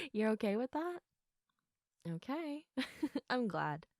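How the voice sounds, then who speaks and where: A woman playing a sleepy, affectionate girlfriend in an intimate sleep-aid roleplay, speaking softly and reassuringly with a tendency toward giggles and whispers. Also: high-pitched, huge pitch swings, dry recording, studio quality, heavily acted. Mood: tender, reassuring. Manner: soft, unhurried, often giggly, dropping into whispers. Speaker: audio roleplay performer.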